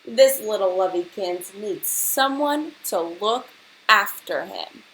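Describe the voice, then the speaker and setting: A doting old lady from a storybook, acted out by a woman reader, fusses and exclaims tenderly. Her delivery is slow, and faint hiss runs behind her. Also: medium pitch, lively intonation, a bit bright, low-quality recording. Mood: surprised, tender. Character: doting old lady fussing over a baby bird.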